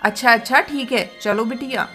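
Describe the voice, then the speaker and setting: A woman voicing a brisk, polite adult female pigeon in a cartoon. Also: fairly high pitch, bright, forceful tone, faint music behind. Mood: doubtful. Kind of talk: Hindi cartoon dialogue.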